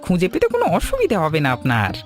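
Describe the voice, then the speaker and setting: A woman's voice: on the low side, wildly swooping pitch, quick pace, soft background music. A woman voicing a helpful, emphatic local passerby in a cartoon story.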